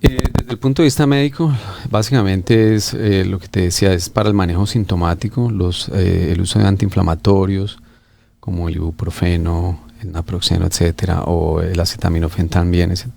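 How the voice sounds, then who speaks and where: A middle-aged man from Colombia, an orthopedic surgeon explaining treatments in an interview, pausing often with fillers and restarts. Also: sits low, steady tone, vocal fry, a little mellow, a little hiss or hum. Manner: hesitant, many fillers and restarts.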